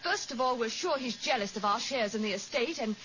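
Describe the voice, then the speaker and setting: A woman plays a frank, tender-hearted young lady in a vintage radio mystery. Her genteel voice sits fairly high, on a dull recording with clear noise. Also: lively intonation, fairly quick, lightly acted. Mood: frank, resentful. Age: young adult. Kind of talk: 1940s radio drama acting.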